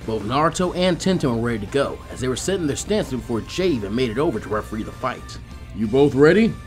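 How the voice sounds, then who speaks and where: A man narrating an action story from a script in an expressive audiobook style. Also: medium pitch, fast. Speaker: fan fiction audiobook narrator. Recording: music behind the voice.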